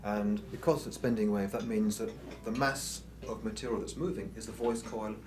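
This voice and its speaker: A man's voice: fairly low pitch, fairly even intonation, moderate pace. A man giving an informal, explanatory technical product presentation as an exhibitor at a show. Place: room at an audio show. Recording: roomy, distant mic, music behind.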